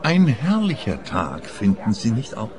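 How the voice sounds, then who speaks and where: A man's voice: lowish voice, wildly swooping pitch, measured pace, a crowd in the background. An actor playing a genteel, frail man in his seventies, chatty and superstitious, in radio play dialogue. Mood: content, genial. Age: 70s. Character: contented, frail seventy-year-old pensioner, superstitious and plaintive.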